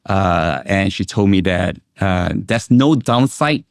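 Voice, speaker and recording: A middle-aged man from Indonesia and Singapore, an investment analyst, giving measured, reflective answers as an interview guest. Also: low-pitched, expressive, clean tone, dry recording, clean studio sound.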